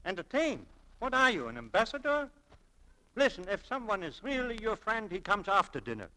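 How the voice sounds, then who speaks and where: A man's voice: high-pitched, very animated, normal speed, sharp tone, exaggerated voice. A man playing a friendly, nervous family-man store clerk in a 1940s radio drama. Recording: faintly noisy old 1940s radio recording.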